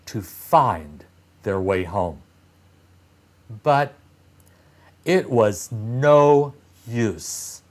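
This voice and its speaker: A man reads a children's fairy tale at a very unhurried pace. His mid-pitched voice rises and falls in a lively way, with pauses and emphasis between short phrases. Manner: deliberate phrasing with frequent pauses and expressive emphasis. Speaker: storyteller reading aloud to young children. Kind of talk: scripted read-aloud of a fairy tale.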